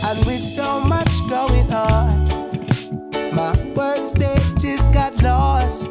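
A woman singing a slow, drawn-out reggae vocal on a played track. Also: high voice, fairly even intonation. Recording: played track with loud music.

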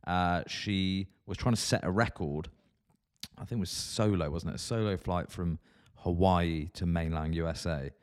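A man with a fairly low voice co-hosts a podcast. He chats casually, rambles through stories and laughs easily with his co-host. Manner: jokey, rambling, quick to laugh.

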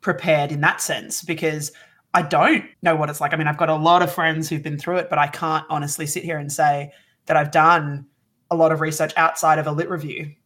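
A woman's voice: low voice, little pitch movement, a little fast, fairly bright tone. A woman with an Australian accent chatting casually in a group, jokey and often interrupting herself. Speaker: podcast panellist and role-play podcast player.

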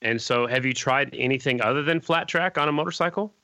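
A man's voice: medium pitch, brisk, pushed and bright, dry recording, studio quality. A man hosting a casual podcast interview, easygoing and friendly. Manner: friendly, easygoing, says "right on" a lot. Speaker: podcast host.

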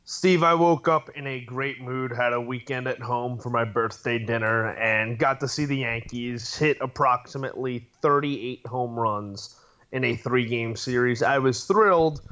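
Podcast dude, opinionated and emphatic, kinda muffled mic. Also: male voice, medium pitch, unhurried, sharp tone. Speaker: podcast co-host. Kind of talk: casual sports podcast chat.

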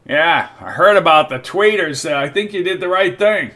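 A man's voice: fairly high pitch, animated, normal speed, bright, forceful tone, voice pushed up. A man reading the part of a sly, gossipy small-town official who speaks in a confiding, folksy way.

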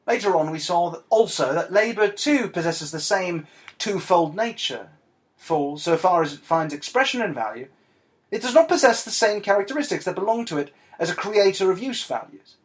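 Man playing a scornful, world-weary dead woman writer, high-pitched. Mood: dry reading. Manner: deadpan, exasperated, halting with repeated phrases. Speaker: podcast guest host. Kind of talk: comic in-character monologue and dramatic reading.

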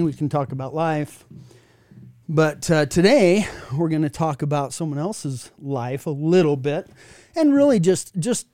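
A man hosts with a mellow, relaxed tone pitched a bit above the usual male range. He talks with eager, rambling enthusiasm and keeps restarting his sentences. The sound is dry and close to the mic. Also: no room sound. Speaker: podcast host. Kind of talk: casual chat.

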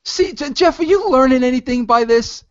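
A man's voice: very high-pitched, expressive, moderate pace, highs cut off. A man in his fifties playing a network executive who teases and coaches two interviewers with a pushy, interrupting manner. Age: 50s.

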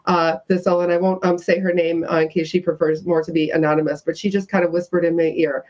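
A woman with a loud voice, a guest activist telling her story in a casual radio discussion, with many restarts. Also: lowish voice, flat delivery, quick pace, dull audio.

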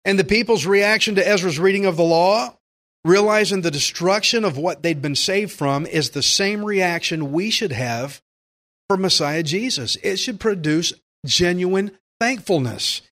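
A man preaching a sermon, earnest and emphatic, slowing down to stress key phrases. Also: high-pitched, a bit bright. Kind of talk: sermon with scripture readings.

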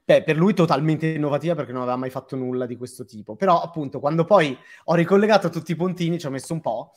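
A man's voice: on the high side, fast talker. A man hosting a casual live interview, talking in a hesitant, self-deprecating, jokey way. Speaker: host.

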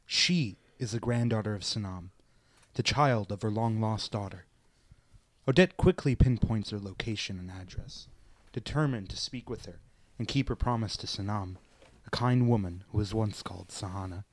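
A man's voice: lowish voice, normal speed, dry recording. A man reading scripted narration for a radio play.

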